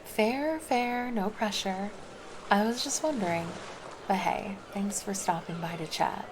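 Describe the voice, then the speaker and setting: A woman's voice: mid-range voice, expressive, unhurried, noisy background, close to an everyday voice. A woman voicing a laid-back, flirty female lifeguard in an ASMR roleplay, chatting casually and teasing. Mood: easygoing.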